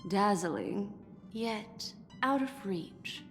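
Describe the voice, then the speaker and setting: Mysterious serene game lady, super slow n formal, big reverb. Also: medium pitch, animated, faint music behind. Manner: measured, poetic, formal. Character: serene mysterious woman, a keeper of memories. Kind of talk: scripted game dialogue. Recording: voice in a long reverb.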